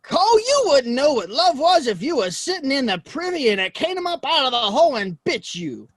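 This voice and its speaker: Very high-voiced, cranky cowboy. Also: male voice, very animated, normal speed, no echo. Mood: mocking. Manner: mocking, folksy. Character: cranky, sarcastic Old West saloon regular. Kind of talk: Western audio play acting.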